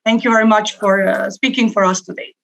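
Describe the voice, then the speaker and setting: A woman hosting an online event, introducing a speaker informally in a hesitant, halting way. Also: medium pitch, fairly even intonation, fairly bright tone, low-quality recording.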